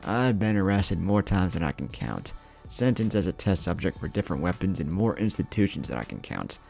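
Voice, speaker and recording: A man's voice: low voice, expressive, fairly quick, creaky, a little mellow, soft background music. A man voicing a crazed, sadistic male killer in a story, boastful and menacing. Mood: menacing glee.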